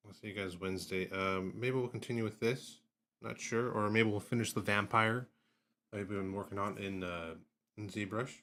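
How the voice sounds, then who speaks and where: A man's voice: fairly low pitch, fairly even intonation, moderate pace, fairly bright tone. A man hosting a livestream, chatting casually and making offhand remarks to viewers. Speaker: livestream host. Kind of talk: casual chat.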